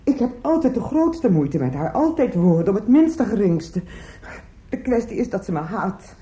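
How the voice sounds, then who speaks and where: A woman's voice: mid-range voice, big pitch swings, moderate pace, mellow, natural voice. An actress playing a fretful, meddling older woman in a radio drama, plaintive and garrulous. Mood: plaintive, complaining. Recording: echoey, noisy old radio drama recording.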